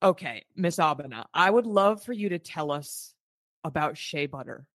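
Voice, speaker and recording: A woman's voice: low-pitched, expressive, moderate pace, no room sound, studio quality. A woman hosting an interview, playful and encouraging with her guest. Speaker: podcast host. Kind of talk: casual interview chat.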